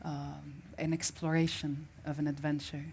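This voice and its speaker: A woman's voice: sits low, little pitch movement, normal speed, vocal fry, darkish tone, a little hiss or hum. A woman from Syria, a native Arabic speaker, giving a reflective personal story as a festival speaker and often restarting as she searches for words.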